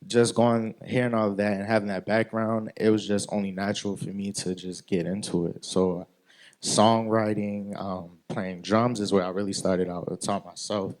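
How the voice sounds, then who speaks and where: Young man with a lower voice, laid-back. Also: moderate pace. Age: 20s. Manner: relaxed, says "like" a lot, laughs. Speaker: guest singer. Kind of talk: casual interview answers.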